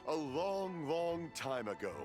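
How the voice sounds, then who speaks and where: An animated, rambling old father. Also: a little high, takes it slowly, over loud music. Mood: rambling, storytelling. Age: older. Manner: rambling storyteller, theatrical. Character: jolly, rambling, hard-of-hearing old father. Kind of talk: anime game voice acting.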